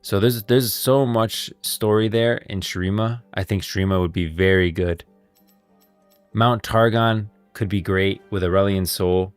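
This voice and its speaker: A man's voice: fairly low pitch, steady tone, a little slow, clean tone, no room sound. A man streaming casual commentary, rambling and thinking aloud as he goes. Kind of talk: casual game lore commentary. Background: background music.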